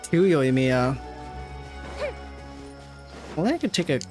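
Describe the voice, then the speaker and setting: A woman streaming a game reacts casually in a low-pitched voice. She muses aloud in an informal way, with faint music underneath. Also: sing-song, slow, a little mellow. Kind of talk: casual live reaction commentary.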